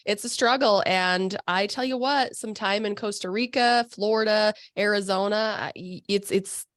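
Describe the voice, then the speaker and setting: A woman's voice: medium pitch, normal speed, fairly bright tone, no room sound, clean studio sound. A woman hosting a podcast, talking in a bubbly, effusive way, full of exclamations. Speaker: podcast host. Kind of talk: casual interview chat. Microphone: video call microphone.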